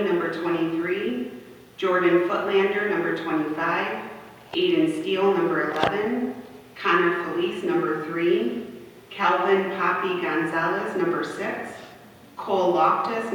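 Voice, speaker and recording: A woman city councilwoman reading out a formal proclamation at a public meeting. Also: on the low side. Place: large indoor council chamber. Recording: echoey hall from far off, muffled, faint noise.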